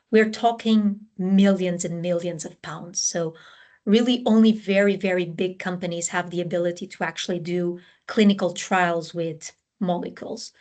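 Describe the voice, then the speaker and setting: Woman with a Portuguese accent. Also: mid-range voice. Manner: explanatory, frequent fillers and restarts. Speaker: scientist guest. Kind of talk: conversational interview answers.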